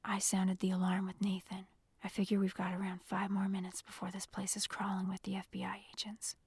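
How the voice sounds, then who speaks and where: A woman voicing a protective young male agent in an audiobook, speaking in a calm, urgent, commanding whisper. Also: medium pitch, unhurried, breathy edge, dry recording, pitched below the performer's own voice. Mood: hushed, firm. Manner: hushed, reassuring, commanding whisper. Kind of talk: audiobook character dialogue.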